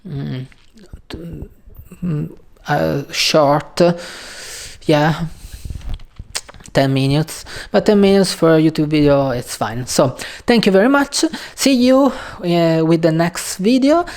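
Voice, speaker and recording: A woman with an Italian accent, a native Italian speaker hosting her own channel and talking casually in English about a book she read. She speaks hesitantly, with many pauses and fillers. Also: low voice, darkish tone. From Italy. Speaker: channel host. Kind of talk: casual video talk on a book.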